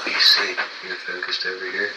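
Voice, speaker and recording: A man on a ghost hunt in an abandoned building, talking in hushed tones and calling out to spirits. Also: low voice, completely flat delivery, moderate pace. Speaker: ghost hunter. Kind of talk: field recording of a ghost hunt. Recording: echoey, far from mic, loud noise.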